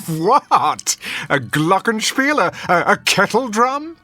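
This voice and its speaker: Snooty sardonic critic husband, radio drama, sharp voice, really swoopy and theatrical. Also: male voice, high voice, sing-song, slow, dry recording, soft background music, heavily acted. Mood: sardonic, scornful. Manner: pompous, acerbic, theatrical.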